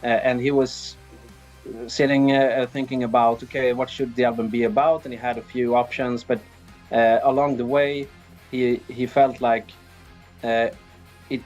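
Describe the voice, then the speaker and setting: A man from Sweden giving casual, halting interview answers as a band's drummer. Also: mid-range voice, a little mellow. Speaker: band drummer being interviewed.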